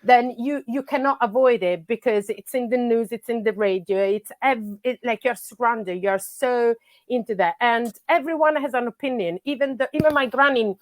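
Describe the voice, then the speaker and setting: A woman's voice: fairly high pitch, normal speed, no room sound. A middle-aged Italian woman, a soccer coach, talking in a podcast interview with many fillers and restarts. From Italy.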